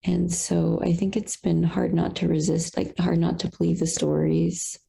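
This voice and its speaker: A young woman speaks on a video call with a low-set, gravelly voice. Her tone is dark and husky, and she talks in a halting, reflective way full of small fillers. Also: level tone. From Colombian roots. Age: young adult. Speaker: satsang participant. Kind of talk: casual sharing on a video call.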